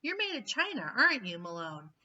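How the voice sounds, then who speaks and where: A woman voicing an enormous bearded man who speaks in a formal, gently playful, courteous way in a storybook reading. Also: fairly high pitch, wildly swooping pitch, a little fast, heavily acted. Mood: genial. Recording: dull, slightly distant recording.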